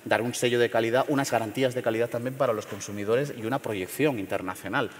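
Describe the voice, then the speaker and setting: A man's voice: mid-range voice, fairly even intonation, long reverb tail, slight background noise. Hesitant man on a theater stage. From León, Spain. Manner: measured, hesitant, many fillers and restarts. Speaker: panel speaker. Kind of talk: panel talk at a forum.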